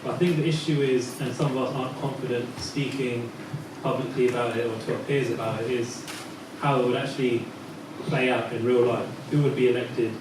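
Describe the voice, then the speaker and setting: A man in the audience commenting tentatively, halting and soft-spoken. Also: medium pitch, fairly even intonation. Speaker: audience member. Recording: echoey hall, far from mic, noise.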